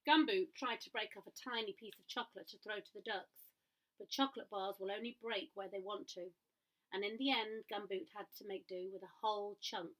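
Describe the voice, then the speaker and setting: A woman reading a picture book aloud to children in an expressive way, pausing to ask her listeners questions. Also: mid-range voice, poor audio. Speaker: storyteller.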